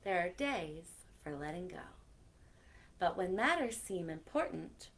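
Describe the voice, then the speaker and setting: Animated woman reading stories to children. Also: fairly low pitch, measured pace. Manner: expressive, addressing young listeners directly. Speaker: children's librarian and story time host. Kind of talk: animated read-aloud for children with chatty asides.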